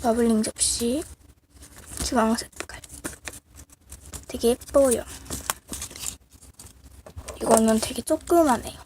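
A woman with a cold that makes her voice sound off, casually showing handmade crafts in a halting, apologetic, self-deprecating way. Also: on the high side, a little mellow. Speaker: craft video creator. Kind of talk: casual show-and-tell of handmade clay miniatures. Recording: noisy amateur recording with clicks.

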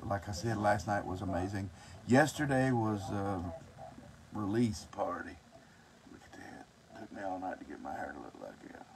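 A man's voice: lowish voice, lively intonation, normal speed. A man, casually vlogging from a tour bus and chatting with his bandmates. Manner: folksy, teasing, chatty. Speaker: singer hosting a tour vlog. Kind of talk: casual phone vlog. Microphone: phone at arm's length. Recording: noisy phone audio in a bus.

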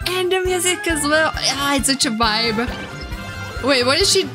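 A woman with a high voice reacts casually as a streamer. She gushes and exclaims at an unhurried pace, with some music behind her. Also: lively intonation. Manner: gushing, exclamatory. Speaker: VTuber streamer. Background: game trailer music.

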